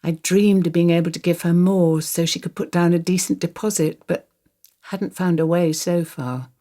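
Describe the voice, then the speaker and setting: A woman's voice: on the low side, moderate pace, warm tone, natural voice. An older woman acting a radio play monologue as a wistful, wry grandmother confiding her thoughts. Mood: reflective. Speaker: actor reading a monologue. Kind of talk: radio play monologue, first-person storytelling.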